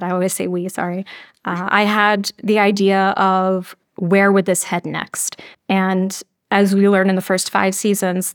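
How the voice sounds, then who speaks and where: Female writer as podcast guest, casual conversational delivery, mid-range pitch, very dry recording. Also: dry recording.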